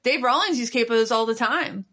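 A woman chatting casually and informally, with animated, mocking asides. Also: on the high side, normal speed. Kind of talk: casual chat.

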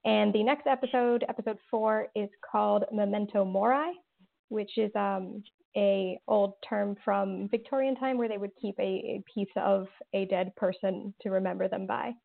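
A woman's voice: medium pitch, fairly even intonation, moderate pace, gravelly. A woman, a horror writer and interview guest, answering thoughtfully with lots of fillers and restarts. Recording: tinny phone-like line.

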